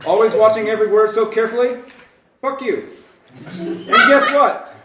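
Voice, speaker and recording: A man's voice: high voice, moderate pace, heavy background noise, natural voice. Man playing a fed-up, foul-mouthed president, echoey. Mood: indignant. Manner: sweary, sarcastic, defiant. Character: exasperated, foul-mouthed departing American president, ranting bluntly. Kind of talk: comedy sketch in old-time radio variety show. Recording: echoey room, distant from mic.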